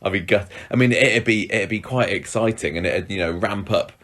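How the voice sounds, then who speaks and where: Hoarse, very deep-voiced male podcaster. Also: fairly quick, fairly bright tone. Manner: enthusiastic, repeats words as he starts. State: hoarse, croaky voice. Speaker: podcast co-host. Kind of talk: casual chat about a soap opera.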